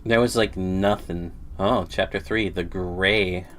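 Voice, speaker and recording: A man's voice: sits low, steady tone, takes it slowly, a bit bright, a little hiss or hum. A man livestreaming a game, reacting casually as he plays.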